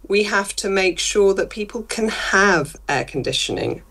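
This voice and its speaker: A woman's voice: medium pitch, expressive, a bit bright. A woman guest giving firm, opinionated commentary. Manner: crisp, opinionated, brisk.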